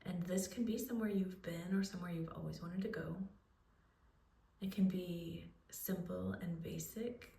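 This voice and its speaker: A woman leading a guided relaxation, speaking in a soothing, unhurried way with pauses as she gives gentle instructions. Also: fairly low pitch. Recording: roomy echo, distant microphone.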